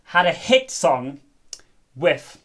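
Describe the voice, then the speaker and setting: South African man, Afrikaans native, playful co-host, lively pitch, chatty storyteller. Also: takes it slowly. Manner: playful, animated storyteller with many fillers. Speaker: co-host, musician. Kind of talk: casual chat, explaining a folk song's history.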